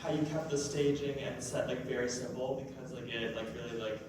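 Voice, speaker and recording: Very echoey man, halting and filler-heavy. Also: mid-range voice, fairly even intonation, a crowd in the background. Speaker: audience member giving feedback. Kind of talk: casual spoken feedback. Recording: very echoey room, distant, noisy.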